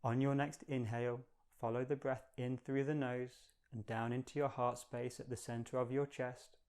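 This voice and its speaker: A man leading a guided meditation in a calm, gentle, measured manner, giving slow, soothing instructions. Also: medium pitch, clear, resonant tone.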